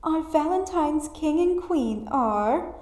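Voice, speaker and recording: A woman plays a firm, commanding woman in a school cartoon. Her high voice takes its time with authority, rising and falling expressively. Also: expressive, darkish tone, reverberant. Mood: formal announcing. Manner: deliberate, authoritative. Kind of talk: animated fan drama acting.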